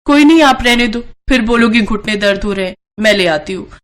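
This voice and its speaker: A woman's voice: a little high, close to an everyday voice. A woman playing a busy, scolding but caring young daughter-in-law and mother in a family moral story. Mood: brisk, exasperated. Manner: brisk, fussing. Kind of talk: acted moral-story dialogue.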